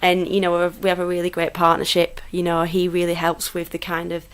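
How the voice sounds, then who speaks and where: A woman's voice: lowish voice, completely flat delivery, a little fast, clean tone. A woman in her thirties from Lancashire with a Lancashire accent, a friendly, upbeat interview guest who talks with plenty of fillers. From Lancashire, England. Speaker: guest, football club PR manager. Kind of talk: casual interview chat. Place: small home studio.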